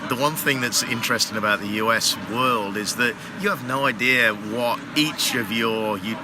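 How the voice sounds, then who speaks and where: A man's voice: medium pitch, normal speed. A man, a manager at a water heater company, explaining at length in a casual interview with lots of fillers. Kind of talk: casual interview on a trade show floor. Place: busy trade show floor. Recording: noisy expo floor background.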